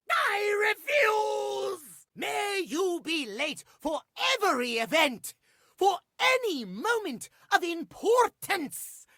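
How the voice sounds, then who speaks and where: A man's voice: extremely high pitch, gravelly, pushed and bright, no room sound, studio quality, full character voice. An actor voicing a fussy, prim male clock obsessed with schedules, speaking formally and precisely in a theatrical, old-fashioned way for a video game. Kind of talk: video game character acting.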